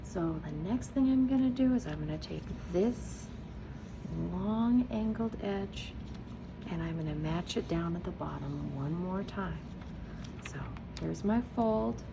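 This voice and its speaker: A woman's voice: on the low side, expressive, unhurried, gravelly, noisy background. A woman teacher giving patient, encouraging step-by-step craft instructions to young children, often asking a question and answering it herself. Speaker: preschool teacher, host of a children's read-aloud and craft video.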